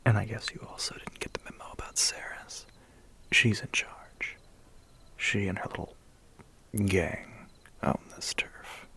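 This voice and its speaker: A man's voice: on the low side, fairly even intonation, pushed and bright, heavily acted. A man as a smug whispering vampire biker. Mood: scornful. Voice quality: breathy whisper. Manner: slow, condescending, teasing. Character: smug, menacing, centuries-old male vampire biker. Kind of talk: ASMR roleplay acting.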